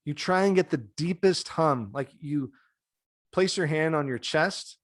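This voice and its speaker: A man's voice: fairly high pitch, measured pace, dry recording, clean studio sound. A man in his thirties who teaches yoga, co-hosting a podcast over a video call from a dim home room, speaking in a laid-back, soothing and joking way. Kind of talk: casual podcast chat. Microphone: desk mic, video call.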